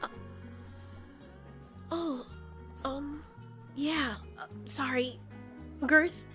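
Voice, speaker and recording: Woman playing a high-pitched, emphatic female kaiju. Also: drawn-out words, bright, forceful tone, soft background music, exaggerated voice. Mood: awkward, hesitant. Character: Mothra, anxious, jealous female giant moth kaiju. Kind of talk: amateur audio story acting.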